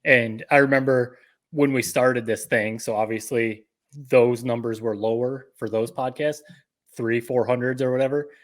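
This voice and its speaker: A man's voice: medium pitch, steady tone, normal speed. A man co-hosting a podcast, chatting casually. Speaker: podcast co-host. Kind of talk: casual chat.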